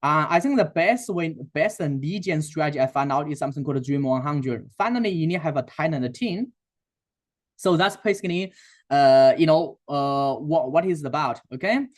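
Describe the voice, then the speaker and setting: A man with a Chinese accent presenting a sales webinar, talking in a halting way with many fillers and restarts. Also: fairly high pitch, animated. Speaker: webinar host and sales coach.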